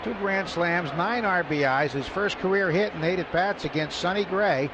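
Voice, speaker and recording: A man's voice: high voice, normal speed. An American man giving color commentary and analysis during a radio baseball broadcast. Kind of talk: radio sports analysis between calls. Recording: dull radio broadcast with loud background noise.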